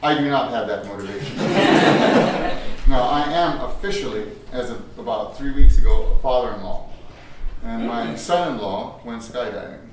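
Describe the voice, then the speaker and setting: An older man leading a teacher workshop, chatty and quick to laugh, his voice a little strained from a cough. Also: mid-range voice, background noise. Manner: chatty, laughs often, restarts. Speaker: teacher trainer. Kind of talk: workshop talk with audience. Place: large echoey room with audience. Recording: very echoey room, voice from far off.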